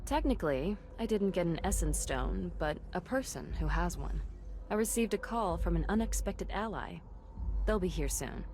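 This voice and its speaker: A woman's voice: fairly low pitch, expressive, moderate pace, darkish tone, a little hiss or hum. A woman playing a confident, businesslike investigator in a video game, matter-of-fact and self-assured. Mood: wry, assured. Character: confident, businesslike female investigator with a dry edge. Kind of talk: scripted video game cutscene acting.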